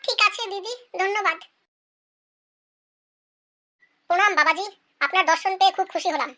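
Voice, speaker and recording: A woman's voice: extremely high pitch, quick pace, pushed and bright. A woman playing a chatty, pleading and scheming village woman in a cartoon comedy. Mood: respectful.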